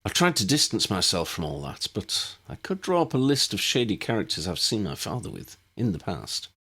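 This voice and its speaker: A man voicing a measured, well-mannered young male wizard with a polite, tentative manner in an audiobook. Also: on the low side, big pitch swings, vocal fry, pushed and bright, slightly rough, voice pushed up, exaggerated voice. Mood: helpful.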